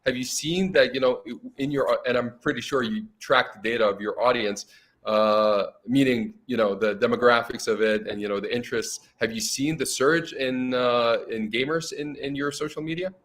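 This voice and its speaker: A man co-hosting an online interview in an easy, chatty way. His long sentences wander and lean on "you know" as he thinks out loud, heard over a video call. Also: medium pitch, moderate pace. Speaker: co-host. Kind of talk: casual interview chat.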